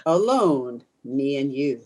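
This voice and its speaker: A woman playing a bloodthirsty, commanding old vampire woman in old-time radio horror style, speaking with slow, insistent menace. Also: very deep voice, big pitch swings, warm tone, heavily acted. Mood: menacing, hungry.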